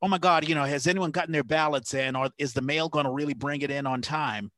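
A man's voice: on the high side, fast, sharp tone, no echo. Friendly male podcast host, dry sound. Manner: friendly, playful banter. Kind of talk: casual chat.

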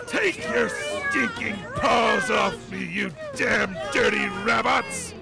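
Bombastic screen hero: high strained shouting, gravelly edge, loud crowd and music. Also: male voice, huge pitch swings, full character voice. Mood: defiant fury. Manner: melodramatic, drawn-out shouting. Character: defiant, bombastic gun-loving film-star hero.

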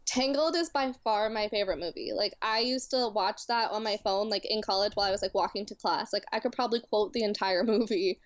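A woman's voice: medium pitch, brisk, hardly any pauses, no room sound, highs cut off. A woman in her twenties from Louisiana, a cosplayer chatting casually as a guest and filling her talk with "like". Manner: chatty, heavy with "like" fillers. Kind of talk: casual chat.

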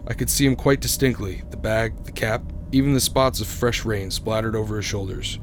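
A man's voice: lowish voice, moderate pace, noisy background, natural voice. An actor playing a haunted young motorist who tells his eerie story in a radio drama, grave and suspenseful. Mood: uneasy, suspenseful. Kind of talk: radio drama narration and acting.

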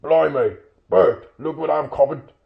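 A man's voice: medium pitch, normal speed, phone-quality sound, lightly acted. A man voicing a big, drunken, dim troll in a storybook, suspicious and blustering, with coarse rustic speech. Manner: coarse rustic dialect, dropped aitches, blustering.

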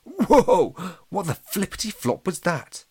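Cheeky storybook schoolboy, bold and excitable, pitch leaping about, performed by a man. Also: moderate pace. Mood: startled, angry. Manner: excitable, slangy exclamations.

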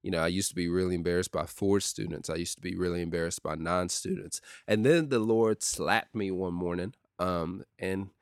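Young adult youth pastor, very low deep voice, dry close sound, relaxed podcast chatter. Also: male voice, moderate pace, hardly any pauses, smooth, no room sound. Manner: steady and conversational with many ums and uhs. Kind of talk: casual solo podcast talk.

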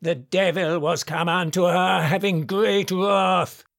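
A stern father from a classic storybook, acted out by a male reader, high-pitched for a man and full of gravel. He speaks slowly and menacingly, bearing down on each word with domineering wrath. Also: little pitch movement, a bit bright, no room sound, clean studio sound, heavily acted. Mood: wrathful. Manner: slow, menacing, emphatic. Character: stern, wrathful middle-aged father, domineering and doom-laden. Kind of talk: dramatised classic novel reading.

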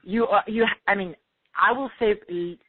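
A woman's voice: mid-range voice, normal speed, breathy edge, dry recording. A French woman in her forties with a French accent, chatting casually as a guest and peppering her talk with fillers. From France. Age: 40s. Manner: animated, many fillers like "you know". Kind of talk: casual chat. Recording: tinny phone-like line.

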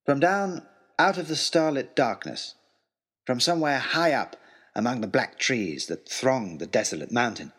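A man narrating a first-person adventure story in an expressive, theatrical way. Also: mid-range voice, a little slow, husky.

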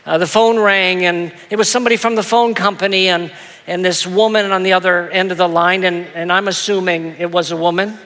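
A male pastor telling a story in a sermon, with a soft, soothing voice and a gentle, self-deprecating manner that stumbles into little repeats. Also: high-pitched, steady tone, fairly quick. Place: church auditorium. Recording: long hall reverb.

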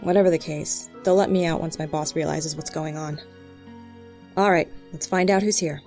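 Woman playing a low-voiced, commanding FBI agent. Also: fairly even intonation, normal speed, over music. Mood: guarded, firm. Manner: brusque, authoritative. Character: tough, commanding, short-tempered female FBI agent. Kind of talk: audio drama acting.

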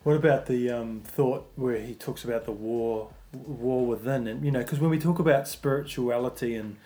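A man's voice: mid-range voice, moderate pace, warm tone. A man hosting a casual faith discussion, thinking aloud and rambling through his points with plenty of fillers. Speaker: podcast host.

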